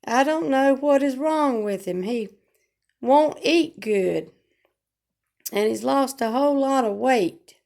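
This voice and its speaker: Hoarse high-voiced woman, slow. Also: expressive, a little mellow. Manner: slow, homespun, rambling talk with regional grammar. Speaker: vlogger. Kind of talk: casual home vlog chat. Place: at home.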